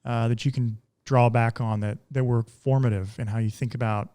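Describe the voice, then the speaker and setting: A man hosting an interview, asking easygoing, halting questions with frequent fillers. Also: medium pitch, steady tone, dark tone. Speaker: podcast host. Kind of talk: casual interview conversation.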